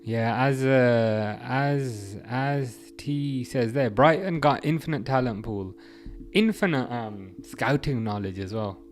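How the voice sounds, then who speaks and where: A young man hosting a relaxed football livestream, reading out chat messages and rambling through his thoughts with frequent restarts. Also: mid-range voice, slow pace, slight background noise.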